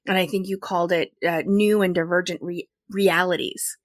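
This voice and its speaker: A woman's voice: on the low side, little pitch movement, normal speed, dry recording, clean studio sound. A woman hosting an interview podcast, asking thoughtful questions in a conversational way. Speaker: podcast host.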